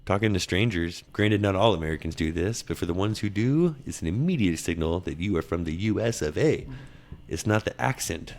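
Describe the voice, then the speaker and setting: A man's voice: deep voice, lively intonation, a little fast, darkish tone. A young man from Texas with a slight Texan accent, hosting a casual podcast and reading list points with jokes and laughs. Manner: joking, laughs often, reads list points aloud. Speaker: podcast host.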